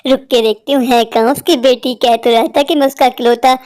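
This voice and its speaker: A woman's voice: high voice, fairly even intonation, brisk, a little mellow, close to an everyday voice. A woman voicing a cruel, hungry witch in a cartoon story, menacing, taunting and boastful. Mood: scheming. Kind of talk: Hindi cartoon story acting.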